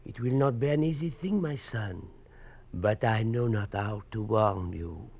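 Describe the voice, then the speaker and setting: A wise old knife maker speaks with a creaky, gravelly voice. He is grave, fatherly and cryptic, in old radio drama style on a dull recording. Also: lowish voice, lively intonation, unhurried, full character voice. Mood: grave warning. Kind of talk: 1940s radio drama acting.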